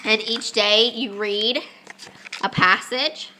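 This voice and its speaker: Young woman, congested voice, bright and forceful tone, slightly high, faint hiss behind, chatty homeschool vlog. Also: fairly even intonation, slow pace, low-quality recording. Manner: chatty, with many ums and restarts. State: congested, losing her voice. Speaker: homeschooling mom. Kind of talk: casual home vlog lesson. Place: family home with children.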